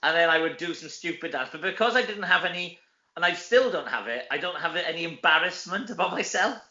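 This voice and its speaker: Welsh man, bright forceful voice, lo-fi roomy recording, chatty, self-deprecating, casual interview storytelling. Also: a little high, animated, brisk. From Wales. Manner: chatty, self-deprecating, often tags sentences with "you know". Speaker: actor and comedy performer, interview guest. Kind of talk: casual anecdotal interview chat. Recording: roomy echo, dull lo-fi sound.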